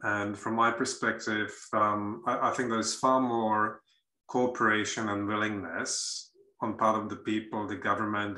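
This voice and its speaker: A man with a Czech accent speaking as a panelist, thoughtful and pausing often to find his words. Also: on the low side, sharp tone, reverberant, poor audio. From Czech Republic. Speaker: panelist, university academic. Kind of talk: measured panel discussion remarks.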